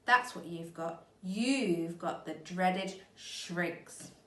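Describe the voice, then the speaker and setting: A woman's voice: lowish voice, very slow, bright, forceful tone, heavily acted. A woman voicing a sly, nasty old man who taunts his wife, in an echoey room. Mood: taunting. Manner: gloating, taunting. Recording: echoey room, mic at a distance.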